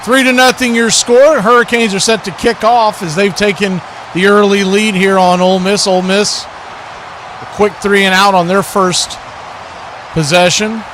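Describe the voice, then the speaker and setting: A man's voice: sits high, steady tone, a little slow, fairly bright tone. A man hosting a watch party with live football play-by-play, often rephrasing as the play unfolds, crowd noise behind him. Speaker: play-by-play host of a sports watch party stream.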